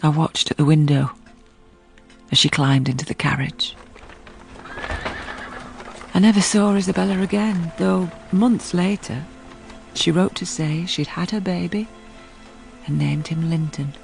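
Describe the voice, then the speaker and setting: An actress playing a sensible, compassionate housekeeper who narrates a radio drama in a low, grave, measured voice. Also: female voice, vocal fry, faint music behind.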